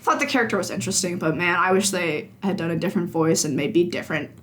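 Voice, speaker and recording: A young woman talking as a games journalist guest in a casual podcast interview, chatty and animated, laughing often and acting out her own thoughts. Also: on the low side, quick pace.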